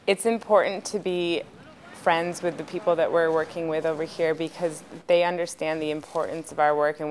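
A young adult woman, a fisheries specialist, explaining her work calmly in a documentary interview voice-over. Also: sits low, a little hiss or hum. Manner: calm, explanatory. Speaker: subsistence resource specialist.

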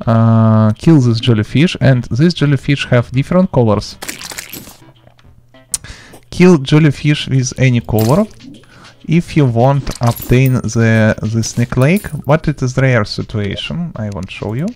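Male game tutorial host, medium pitch, non-native English speaker, halting delivery in short chunks, light music behind. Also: expressive, darkish tone. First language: not English. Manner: halting, choppy phrasing with broken grammar. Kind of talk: gameplay tutorial commentary.

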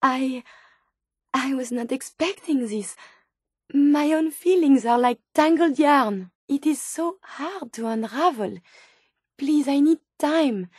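A high, closely recorded female voice with a French accent, an earnest video game medical robot who is learning to be human. She speaks in formal, carefully chosen words, with open curiosity and tender feeling. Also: slow pace, no room sound, studio quality. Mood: tender, hesitant. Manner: precise, formal, expressive diction. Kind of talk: video game character dialogue.

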